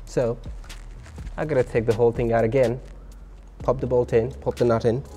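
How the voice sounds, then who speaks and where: A man's voice: medium pitch, flat delivery, moderate pace, warm tone, soft background music. A man giving a casual hands-on explainer for a vlog, informal and thinking aloud as he works. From Australia. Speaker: vlogger showing a DIY car radio install.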